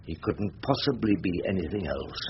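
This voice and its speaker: An actor playing a wry, rumpled older English barrister in a radio drama, with an orotund, ironic, rhetorical way of talking. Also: male voice, low-pitched, animated, moderate pace, gravelly. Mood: grandiose oratory. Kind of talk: BBC radio drama acting and first-person narration. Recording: dull, noisy old radio recording.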